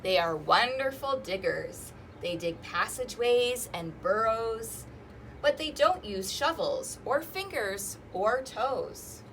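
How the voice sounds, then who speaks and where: A woman's voice: on the high side, expressive, slow pace, a bit bright, slight background noise, low-quality recording. A woman hosting an interactive storytime, reading aloud to young children with singsong emphasis and inviting them to join in. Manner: asks listeners questions and invites them to join in.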